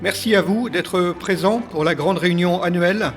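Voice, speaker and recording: Man playing a high-voiced callous company manager. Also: moderate pace, music in the background. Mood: official. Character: gleefully callous male manager announcing company policy. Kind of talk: comedy audio drama acting.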